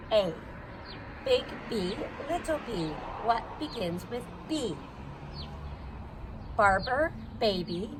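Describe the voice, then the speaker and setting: A woman hosting a children's storytime, reading a picture book aloud outdoors in a sing-song, repetitive way. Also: medium pitch. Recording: noisy outdoor ambience.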